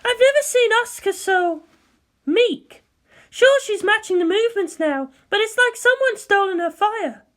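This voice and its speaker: A woman's voice: very high-pitched, expressive, moderate pace, a bit bright, voice pushed up. A woman voicing an anxious female military captain in a story, worried, informal and emphatic. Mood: uneasy, puzzled.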